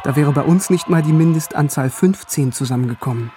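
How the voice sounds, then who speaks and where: Radio drama lead, played by a man: curious, bookish narrator remembering his teens, wry and measured, lightish male voice. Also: a little high, warm tone, faint music behind, lightly acted. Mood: wry, wistful. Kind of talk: radio drama first-person narration and scenes.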